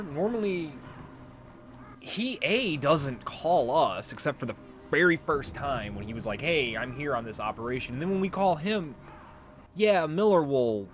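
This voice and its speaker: Guy doing casual game commentary, musing as he goes, pretty animated, bit of noise behind. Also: medium pitch. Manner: rambling, musing aloud. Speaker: let's play host.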